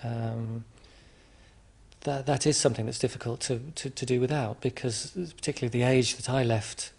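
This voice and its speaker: A man from England answering interview questions in a hesitant, reflective way, with frequent restarts and pauses. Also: medium pitch, steady tone, creaky, a little mellow, breathy edge. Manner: hesitant, many restarts and ums. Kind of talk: conversational interview.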